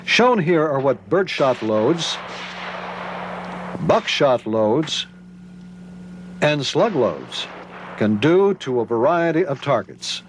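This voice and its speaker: A man's voice: mid-range voice, big pitch swings, takes it slowly, pauses often. A man reading scripted narration for a training film. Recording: noisy 1990s training film soundtrack.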